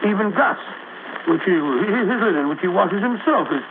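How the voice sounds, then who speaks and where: Gravelly high-pitched British sidekick. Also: male voice, lively intonation, moderate pace. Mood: loyal, weary. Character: loyal older gentleman sidekick, baffled and blustery. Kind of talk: 1940s radio acting. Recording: crackly, tinny old radio recording.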